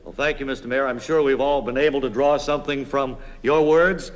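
A man playing an earnest, respectful radio news interviewer, asking measured, polite questions in a deadpan comedy parody. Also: mid-range voice, lightly acted. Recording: noisy, reverberant old radio recording.

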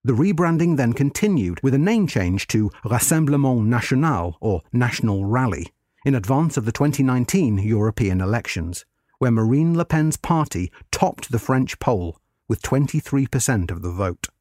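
Expressive male narrator, slightly husky, dry sound. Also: mid-range voice, dry recording. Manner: measured, formal read-aloud delivery. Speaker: article narrator. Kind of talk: scripted narration of a history article.

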